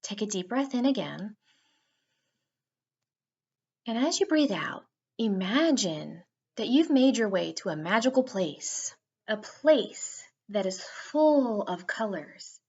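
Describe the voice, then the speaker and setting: A woman narrating a guided meditation for children in a soothing, unhurried way with drawn-out phrasing. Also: medium pitch, big pitch swings, darkish tone, husky, muffled recording.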